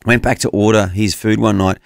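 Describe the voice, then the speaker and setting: Man, low voice, earnest preacherly tone, radio co-host, dry close mic. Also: steady tone, fairly quick, vocal fry, dry recording. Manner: earnest, preacherly, urging listeners. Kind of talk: Bible teaching chat.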